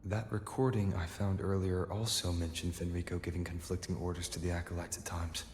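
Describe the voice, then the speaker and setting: A man playing an earnest, thoughtful young adventurer in a video game, measured and articulate as he reasons aloud. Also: sits low, level tone, darkish tone, close to an everyday voice. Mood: pondering. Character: earnest, thoughtful young male adventurer piecing clues together. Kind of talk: video game voice acting, story dialogue. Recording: echoey hall reverb, music behind. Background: game music.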